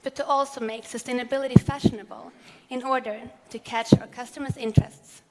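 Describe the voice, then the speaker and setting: A woman, giving a measured, earnest prepared keynote speech on stage. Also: on the high side, steady tone, darkish tone, a little hiss or hum. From Sweden. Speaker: corporate sustainability speaker. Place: large event hall on stage. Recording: long hall reverb.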